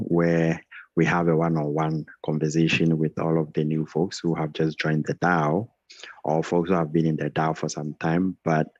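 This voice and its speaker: A man's voice: extremely low pitch, dry recording. A man hosting an onboarding session on a video call, earnest and repetitive, often restarting his phrases. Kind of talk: welcoming group presentation on video call. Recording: dull, dry video call audio.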